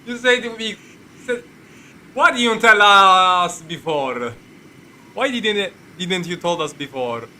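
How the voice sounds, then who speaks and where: A man giving casual live commentary as he plays a game, halting and repetitive, swearing freely. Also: high voice, lively intonation, pushed and bright, low-quality recording. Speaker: game streamer.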